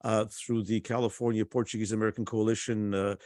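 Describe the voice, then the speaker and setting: A man introduces a guest speaker at a conference over a video call. His voice sits low and sounds dry and close, with no room around it. He talks in a halting way and leans on frequent uhs. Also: little pitch movement, darkish tone, no room sound. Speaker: conference host.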